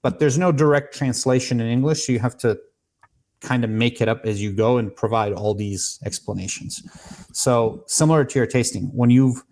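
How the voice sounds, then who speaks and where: A man's voice: mid-range voice, moderate pace, a little mellow. A man from Serbia in his thirties, a guest on a podcast, explaining and telling stories with frequent restarts. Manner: explanatory, storytelling, many restarts. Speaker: podcast guest, cigar maker. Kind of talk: casual podcast conversation.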